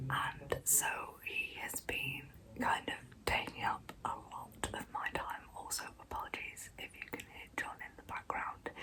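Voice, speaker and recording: Slow whispering woman, ASMR storyteller. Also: rumbling low, poor audio. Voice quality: breathy, unvoiced whisper. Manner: soft, deliberate, slow delivery. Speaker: ASMR video host and narrator.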